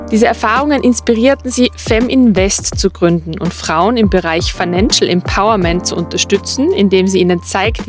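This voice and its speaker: Female podcast host, mid-range voice, faint music underneath, introductions and interview questions. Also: mid-range voice.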